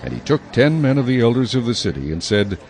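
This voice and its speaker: A man narrating scripture from a script in a measured, solemn manner. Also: mid-range voice, big pitch swings, noisy background.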